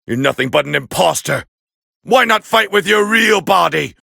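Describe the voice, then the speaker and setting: A man playing a proud, hot-tempered alien warrior prince with a raspy voice, calling fights with scorn in an anime video game dub. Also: sits high, expressive, moderate pace, no room sound, studio quality, exaggerated voice. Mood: irritated, defiant. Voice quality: gravelly, raspy. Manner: haughty, scornful, biting, forceful. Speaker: fight commentator character.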